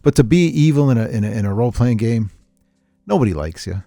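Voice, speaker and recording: A man's voice: mid-range voice, lively intonation, fast, creaky, dark tone, dry recording, clean studio sound. A man hosting an unscripted podcast, talking casually in a rambling, opinionated way. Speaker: podcast host.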